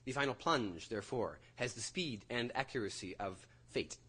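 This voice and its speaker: An actor playing an intense, nervous young university student, lecturing formally and precisely in a theatrical audio drama. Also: male voice, mid-range voice, lively intonation, moderate pace, bright, forceful tone, husky. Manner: precise, formal, faltering under nerves.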